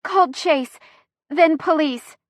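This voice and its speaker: A woman voicing a bossy, sharp-tongued pregnant woman in labor, strained with pain and indignant, in an audiobook. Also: high-pitched, lively intonation, moderate pace, no room sound, pitched above the performer's own voice. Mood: pained, sarcastic. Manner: strained, indignant, clipped bursts. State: panting through pain.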